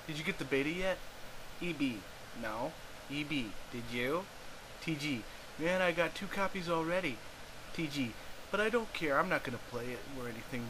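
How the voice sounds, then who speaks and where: A man voicing snarky young internet friends reading out their chat in offhand, deadpan banter on a noisy amateur recording. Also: medium pitch, close to an everyday voice.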